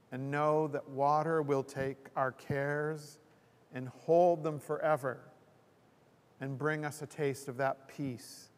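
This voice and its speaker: Older man, church sermon, unhurried reverent delivery, long reverb of a hall, lectern mic. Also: medium pitch. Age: 60s or older. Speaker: pastor. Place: indoor church, at a pulpit. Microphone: gooseneck lectern microphone.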